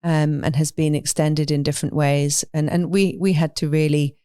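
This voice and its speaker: A woman from London with a London English accent, talking thoughtfully and in a measured way as an interview guest. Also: low-pitched, little pitch movement, warm tone, clear, resonant tone, no room sound, clean studio sound. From London, England. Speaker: podcast guest, animal sanctuary owner. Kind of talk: reflective interview conversation.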